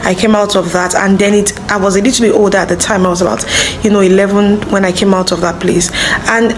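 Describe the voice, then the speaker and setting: A woman's voice: medium pitch, steady tone, fast, slight background noise. A woman giving a personal testimony to camera, partly from notes, conversational and speaking directly to the viewer. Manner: conversational, addresses the viewer directly, checks for understanding. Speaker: teacher, singer and stutter awareness advocate.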